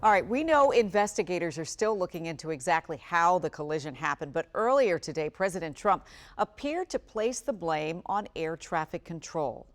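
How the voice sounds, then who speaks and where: Woman, news anchor, slightly low pitch, broadcast delivery. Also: animated, normal speed.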